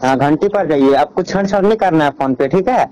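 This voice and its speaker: A man with a fairly high-pitched voice speaks on a recorded phone call. He gives instructions one step at a time, insistently and directively, often repeating them. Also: fairly quick, darkish tone. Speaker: phone caller.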